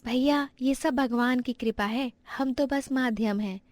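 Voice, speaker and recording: Earnest, gentle young daughter-in-law, played by a woman, very high pitch, dry recording, storybook drama. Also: measured pace, no room sound. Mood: gentle, sincere. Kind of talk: dramatized moral story acting.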